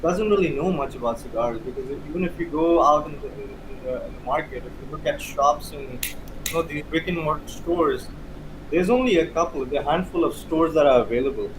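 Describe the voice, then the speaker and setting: A man's voice: a little high, a little fast. Pakistani-accented man over noticeable background noise. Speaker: interview guest, cigar hobbyist. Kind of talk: casual interview chat. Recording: clear steady background noise.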